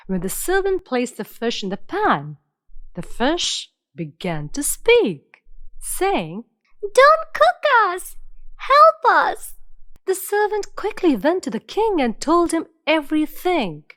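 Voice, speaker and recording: A woman narrating an animated fairy tale in an expressive, storybook way. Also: high-pitched, slow pace, no room sound, studio quality.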